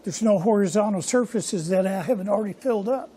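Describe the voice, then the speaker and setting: An older man hosting a casual workshop vlog from his home machine shop, talking in a hoarse, rambling, folksy way. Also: high voice, quick pace, warm tone. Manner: rambling, folksy and self-deprecating. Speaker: workshop channel host.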